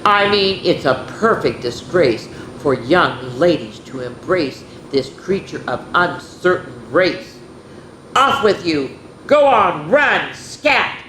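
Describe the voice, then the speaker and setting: A woman's voice: sits low, sing-song, slow, fairly bright tone, full character voice. Woman acting a pompous male school trustee, echoey. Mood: haughty, scornful. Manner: haughty, commanding. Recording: echoey room with noisy hum.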